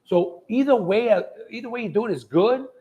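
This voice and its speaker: A man's voice: high voice, animated, a little fast, warm tone, dull audio. A man hosts a casual live cooking show. He talks in a folksy, chatty way, with plenty of down-home phrasing, as if to regulars.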